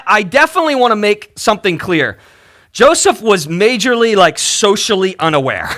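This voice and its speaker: A man's voice: high voice, sharp tone. A man preaching a casual, conversational sermon, telling the story emphatically in colloquial language and often checking in with the listeners. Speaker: pastor preaching.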